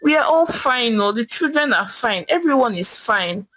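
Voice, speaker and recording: A woman's voice: on the high side, expressive, moderate pace, a bit bright, faint music behind. A woman voicing a carefree wife with a Nigerian accent, nonchalant and teasing. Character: carefree wife enjoying her husband's absence.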